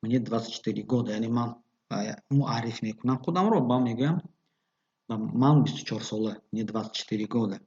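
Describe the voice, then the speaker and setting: A man teaching a bilingual grammar lesson, explaining patiently with fillers and reading example phrases slowly. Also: mid-range voice, a little mellow, husky. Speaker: language teacher. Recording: dull lo-fi recording.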